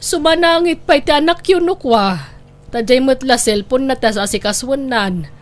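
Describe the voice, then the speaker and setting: A woman plays an older neighbour in an Ilocano radio-style drama. Her voice sits a little high, and she speaks with a Filipino accent in an inquisitive, concerned, gossipy way. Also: moderate pace, lightly acted.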